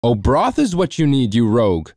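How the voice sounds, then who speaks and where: A man doing a gruff scheming overseer. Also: on the low side, big pitch swings, measured pace, a little mellow, no room sound, studio quality. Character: rough, scheming male overseer.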